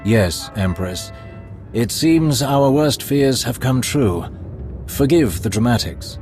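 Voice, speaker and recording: A man's voice: mid-range voice, animated, a little mellow. An actor playing a formal, deferential male Imperial spymaster in a game cutscene. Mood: reserved. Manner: formal, courteous, deliberate. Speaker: advisor. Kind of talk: video game cutscene acting. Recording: music score behind.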